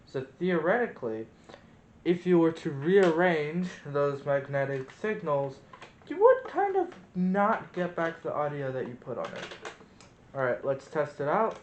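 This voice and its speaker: A man's voice: fairly high pitch, very animated, a little slow, muffled recording. A man presenting a casual hands-on experiment, thinking aloud as he demonstrates. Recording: roomy echo, noticeable background noise.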